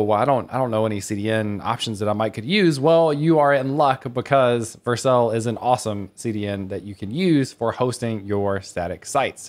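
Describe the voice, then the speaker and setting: A man in his thirties presenting a technical talk to developers in an enthusiastic, conversational way, like a teacher explaining concepts. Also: medium pitch. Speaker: conference speaker and developer educator.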